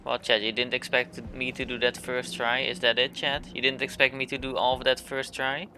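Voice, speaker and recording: A man's voice: medium pitch, level tone, brisk, sharp tone, soft background music. A young man giving casual livestream game commentary and chatting to viewers in a laid-back, joking, slangy way. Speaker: game streamer.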